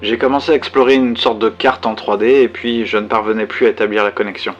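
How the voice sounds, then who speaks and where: A man's voice: lowish voice, fairly even intonation, fast talker, lo-fi sound, faint music behind, close to an everyday voice. A man playing a solitary, bookish survivor who reflects on his past in a conversational, hesitant first-person science fiction audio drama monologue. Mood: reflective.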